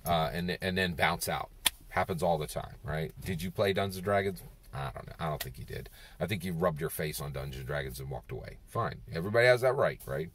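A man's voice: deep voice, brisk, a bit bright. A man in his fifties vlogging from a moving car on a lapel mic, musing aloud and tagging "right?" onto his points. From Philadelphia, USA. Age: 50s. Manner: rambling, circles back with "right?". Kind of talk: casual talking-head monologue. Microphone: clip-on lapel mic. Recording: car and road noise behind. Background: road and engine noise.

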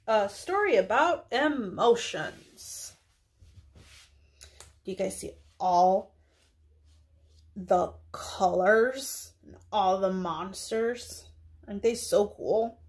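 A young woman, a preschool teacher, speaking to small children in a deliberate read-aloud style with drawn-out words. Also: mid-range voice, lively intonation, darkish tone. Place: small indoor room.

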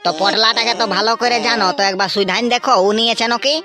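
Cartoon granny, put on by an actor: nagging, defensive village wife, rural West Bengal accent, sharp quick delivery. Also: mid-range voice, steady tone, lightly acted. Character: nagging, gluttonous old village wife and grandmother. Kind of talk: comedy cartoon dubbing. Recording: music behind the voice.

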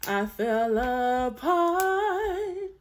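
A young woman with a deep alto voice sings along on her phone in a small bedroom, stopping to chat with her viewers. Her speaking voice sits fairly high and her delivery is drawn out and very slow. A song plays faintly behind her. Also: very animated, faint music behind. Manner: chatty, talks to viewers between sung lines. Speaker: vlogger learning a song. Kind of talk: casual singing practice vlog. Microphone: phone camera held close.

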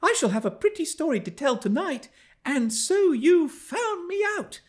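Guy putting on a spoiled excitable little girl from an old novel, squeaky high. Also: normal speed. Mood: gleeful. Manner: excitable, wheedling. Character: cheerful, spoiled thirteen-year-old girl, gleeful and petulant.

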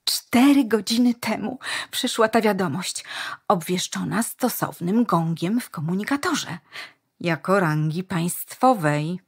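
An eager, wistful wife, husky voice. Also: female voice, mid-range voice, a little slow. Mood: excited, eager. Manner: earnest, excitable, dreamy. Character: eager, wistful young wife in a dystopian state. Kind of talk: dystopian radio play acting.

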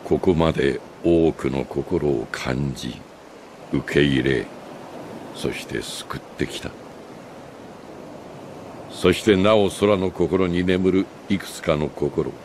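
An older man acting a solemn, remorseful old sage in a Japanese video game cutscene, speaking gravely and with deliberate pauses. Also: extremely low pitch, darkish tone, slight background noise. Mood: solemn, earnest. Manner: grave, measured, philosophical delivery with deliberate pauses.

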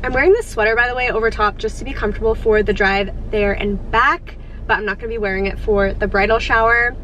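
A young woman in her twenties chatting to camera from a parked car, sounding congested from a cold and gushing with excitement. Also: on the high side, fast talker, highs cut off, a little hiss or hum. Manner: gushing, upbeat, lots of "like" and "so excited". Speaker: lifestyle vlogger. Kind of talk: casual chat to camera. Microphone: camera on the dashboard.

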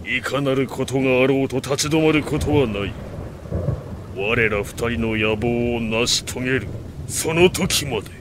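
An actor playing a proud, iron-willed warlord in an audio drama, speaking in solemn, imperious, archaic grand speech. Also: male voice, medium pitch, moderate pace, background noise, close to an everyday voice. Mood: solemn, resolute. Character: proud, iron-willed male warlord bent on remaking the nation. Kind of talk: Japanese audio drama acting.

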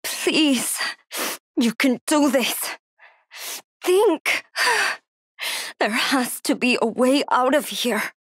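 Woman acting frightened wounded woman, high-pitched, halting. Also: animated, no echo, exaggerated voice. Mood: panicked, praying. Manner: breathy pleading self-talk, drifting into whispers. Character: frightened wounded young woman, trapped, desperately steadying herself. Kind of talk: dramatic solo voice acting.

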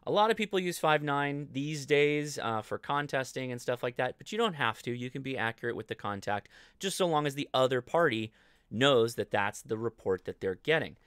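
A man's voice: mid-range voice, animated, moderate pace, a bit bright, clean tone, dry recording, clean studio sound. An American man in his forties hosting a casual livestream explainer, talking informally and thinking aloud. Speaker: host of a ham radio show. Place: indoor home studio.

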